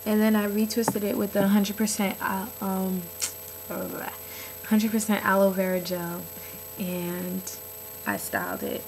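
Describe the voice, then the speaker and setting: A woman's voice: mid-range voice, noisy background. A young woman chatting casually to her webcam at home, with many ums and likes. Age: young adult. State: slightly hoarse. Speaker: vlogger. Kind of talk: casual vlog chat. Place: bedroom at home, indoors. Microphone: webcam built-in mic, close.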